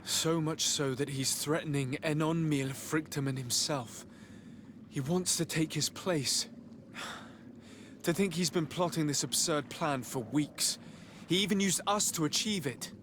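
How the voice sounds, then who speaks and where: A man playing an earnest, courteous noble diplomat in a video game cutscene, speaking formally and in measured phrases. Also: fairly high pitch, fairly bright tone, slight background noise. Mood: troubled. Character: earnest, courteous young noble diplomat, burdened by family betrayal.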